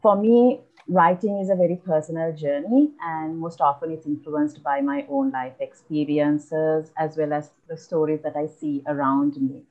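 A woman's voice: sits low, normal speed, few pauses, darkish tone. A woman in her thirties with a Sri Lankan accent, informally introducing herself as a poet over a video call. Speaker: poet and translator. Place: indoor room with bookshelves. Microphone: video call, close to the camera. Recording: dull video-call audio.

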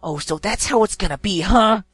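A man voicing a brash, hot-tempered young female pegasus who shouts her lines in a fan audiobook. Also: sits high, lively intonation, moderate pace, husky, no room sound, clean studio sound, full character voice. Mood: angry. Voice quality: loud, shouting.

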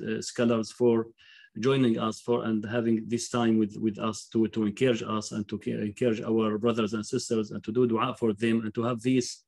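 Courteous man hosting, halting speech. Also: mid-range voice, darkish tone. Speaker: Quran recitation teacher hosting a graduation. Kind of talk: informal ceremony speech. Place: home study, on a video call. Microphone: computer microphone on video call.

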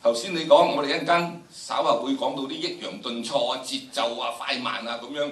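A man's voice: mid-range voice, brisk, a little hiss or hum. An older man with a Hong Kong Cantonese accent lecturing students emphatically, drawing out phrases and posing rhetorical questions. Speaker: teacher of history and drama. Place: large indoor lecture theatre. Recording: echoey lecture hall, from a distance.

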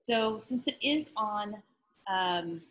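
A woman's voice: medium pitch, expressive, takes it slowly, fairly bright tone, a little hiss or hum. A middle-aged woman informally moderating a panel discussion from home over a video call.

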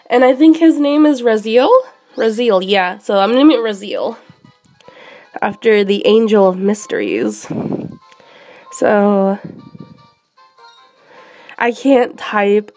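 A young woman streaming a handheld game, sick and losing her voice, chatting excitably with many fillers. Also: fairly high pitch. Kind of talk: live shiny hunting commentary.

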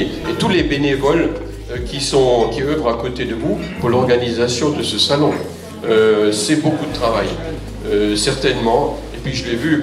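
An older man, a local councillor, giving a semi-formal, off-the-cuff public speech, recorded from across a large foyer. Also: medium pitch. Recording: echoey hall PA from far off.

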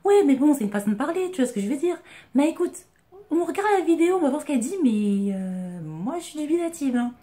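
A woman's voice: high voice, animated, warm tone, voice pushed up. A woman playing a skeptical young woman gossiping with a friend on the phone, chatty and doubtful, in a small room at home. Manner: chatty, doubtful, quick asides. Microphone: phone held to ear, filmed by webcam.

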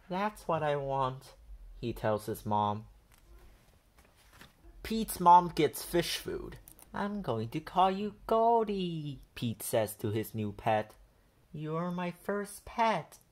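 A woman reading a children's picture book aloud with expressive, deliberate delivery. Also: extremely low pitch. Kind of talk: storybook read-aloud.

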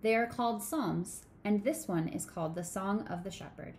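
Woman in her 30s reading a kids' bible story at home, soft expressive voice, camera at desk distance, faint hiss. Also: medium pitch, moderate pace. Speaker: children's sermon co-leader. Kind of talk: reading a storybook aloud to children. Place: small home room.

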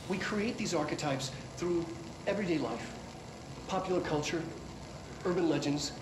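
A man's voice: a little high, natural voice. An actor playing an eccentric male scientist who rambles and stammers through a lecture, heard from a projected recording. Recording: echoey distant projected film with clear noise.

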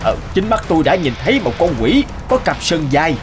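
A frightened villager gasps out his words in a panic, as a man puts him on. The voice is fairly high and jumps wildly in pitch. Soft music plays underneath. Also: very animated, a little fast. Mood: shaken. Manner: panicky, gasping.

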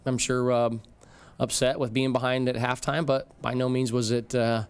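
A middle-aged man, a football head coach, speaking in a measured, reflective way at a postgame press conference podium. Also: medium pitch, level tone, smooth. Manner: measured, reflective, frequent fillers. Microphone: podium microphone.

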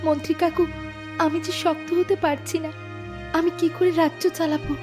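Young fairy tale cartoon queen, played by a woman: high-pitched, naive and plaintive, soft music underneath. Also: level tone, moderate pace. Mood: sad, reluctant. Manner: plaintive, earnest. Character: young princess turned queen, grieving, naive, earnest.

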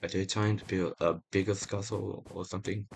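A young man casually commenting on his own gameplay, excitable and talking to viewers, often repeating himself. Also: low-pitched, brisk, vocal fry. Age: young adult. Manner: excitable, talks to viewers while playing, repeats phrases. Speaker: gaming channel host. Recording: loud background noise.

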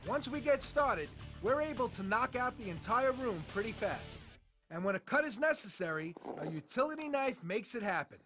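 High-voiced guy hosting a reno show, punchy salesy talk, music going underneath. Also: animated, normal speed. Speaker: renovation show host. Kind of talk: upbeat TV host narration and chat.

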